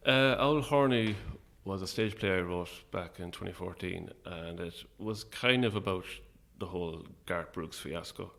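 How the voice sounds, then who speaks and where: A middle-aged man with an Irish accent speaks in a very low voice with a creaky, gravelly edge. He chats informally as an interview guest, in a modest, self-deprecating way. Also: unhurried, few pauses, a bit bright. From Ireland. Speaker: guest, playwright and actor. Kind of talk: casual interview chat.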